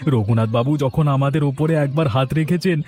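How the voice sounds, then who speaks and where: A man's voice: medium pitch, brisk, a little mellow, soft background music, lightly acted. A man playing a landlord's smug, boastful henchman in a village drama. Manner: boastful, self-satisfied. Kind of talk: melodramatic village drama dialogue.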